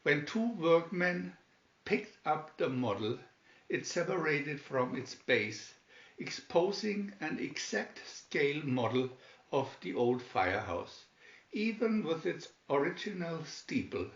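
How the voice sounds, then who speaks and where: A man's voice: fairly high pitch, sing-song, slow pace, a bit bright. A man reading a children's booklet aloud as scripted story narration. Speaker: booklet reader. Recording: dull, roomy home recording.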